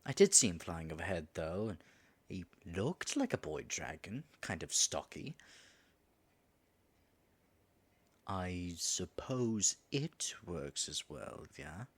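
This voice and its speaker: A man's voice: deep voice, big pitch swings, a little slow, husky, exaggerated voice. A man voicing a flustered, flirtatious dragon in human form in an audio roleplay, stammering nervously and muttering asides to himself. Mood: nervous, defensive. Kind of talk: audio roleplay addressed to the listener.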